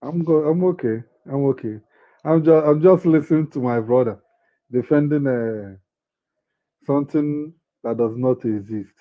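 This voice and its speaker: A man's voice: mid-range voice, unhurried, phone-quality sound. A man joining a casual livestream chat, mocking and laughing between jabs. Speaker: livestream guest.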